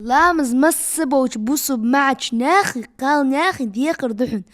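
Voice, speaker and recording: A woman voicing a cheerful young girl in a dubbed cartoon, with a bright, giggly manner. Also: high voice, lively intonation, normal speed.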